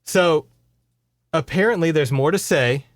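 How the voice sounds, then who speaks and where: A man's voice: on the high side, unhurried, no echo, clean studio sound. A man co-hosting a podcast, recounting a book in casual chat with wry, mock-grandiose humor. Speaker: podcast co-host.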